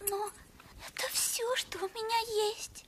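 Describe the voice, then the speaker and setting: A woman's voice: very high voice, flat delivery, takes it slowly, fairly bright tone, a little hiss or hum, heavily acted. A woman voicing a timid young female journalist in a cartoon, crying as she speaks. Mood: tearful, timid. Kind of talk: animated film acting.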